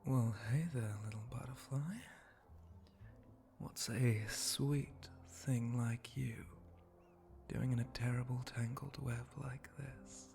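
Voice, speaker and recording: A man playing a suave, teasing spider monster bartender in an intimate ASMR roleplay, breathy and often whispering close to the microphone. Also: mid-range voice, steady tone. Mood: flirty, welcoming. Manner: slow, seductive, teasing drawl with soft chuckles.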